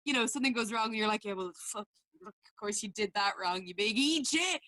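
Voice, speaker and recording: Animated young Irish woman musician. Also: medium pitch, fairly quick, no echo. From Mayo, Ireland. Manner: animated storytelling, self-deprecating. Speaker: musician guest. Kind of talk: casual interview chat.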